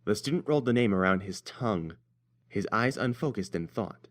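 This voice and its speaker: Low-voiced man narrating a story. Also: expressive, fairly quick. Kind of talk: scripted fan-fiction story narration.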